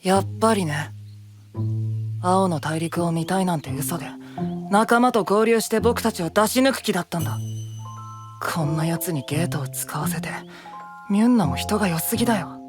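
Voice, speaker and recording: A man playing a guarded, sharp-tongued young knight in an anime-style voice drama, speaking bluntly. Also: sits high, moderate pace, lightly acted. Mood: accusing, distrustful. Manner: blunt, accusing. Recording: music under the voice.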